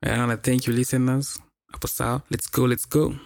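A young man with a Kenyan accent hosts a casual solo podcast in a gravelly, mid-pitched voice. The recording is dry and close, and he talks in loose slang, doubling up words for emphasis. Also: little pitch movement, fairly quick, darkish tone, no echo. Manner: slangy, repeats words for emphasis, mixes in Swahili phrases. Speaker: podcast host.

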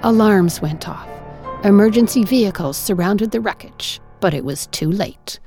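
A woman reading a first-person story aloud in an expressive, wry, dramatic way. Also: mid-range voice, normal speed, faint music behind.

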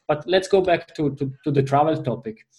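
A man with an Italian accent talks through a business discussion, building his points with long examples and frequent restarts. His voice sits a little high and sounds mellow and relaxed. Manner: halting, restarts often, explains through examples. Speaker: panel guest.

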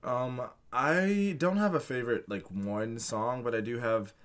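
Casting: young male guest, mid-pitched, halting and casual. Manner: hesitant, filler-heavy. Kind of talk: casual chat.

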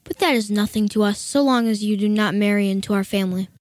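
A woman's voice: mid-range voice, quick pace, a little mellow, no room sound, clean studio sound, natural voice. Woman acting a haughty, blunt wild duck. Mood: disdainful. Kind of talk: audio storybook acting.

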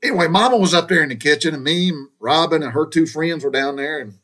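A man's voice: a little high, quick pace, sharp tone. Folksy Southern man telling childhood stories. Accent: Southern US (Georgia). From Georgia, USA. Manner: folksy, meandering, with frequent restarts and asides. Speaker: storyteller recounting his childhood. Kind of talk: casual spoken storytelling.

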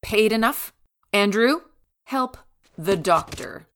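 Cruel rich matriarch, commanding, condescending, audio drama, very animated pitch. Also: female voice, slow pace, a little hiss or hum. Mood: icy, scheming. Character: imperious, cold-hearted mother and mansion matriarch, wheedling and cruel.

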